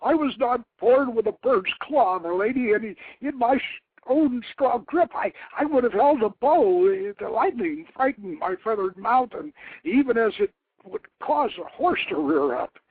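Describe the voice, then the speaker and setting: A man's voice: very high-pitched, lively intonation, unhurried, husky, no echo, voice pushed up, full character voice. A man voicing a cowed warlord who pleads and stammers in a theatrical storybook reading. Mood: pleading. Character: pleading, cowed male warlord begging his mistress. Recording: tinny phone-like internet radio audio.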